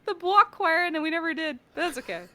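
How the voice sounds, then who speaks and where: An American woman chatting casually and jokingly as a guest on a livestream, animated and giggly. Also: very high voice, fairly quick, heavy background noise. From Pittsburgh, United States. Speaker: interview guest, voice actor and audio director. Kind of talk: casual group chat on a livestream. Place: remote online call for a livestream.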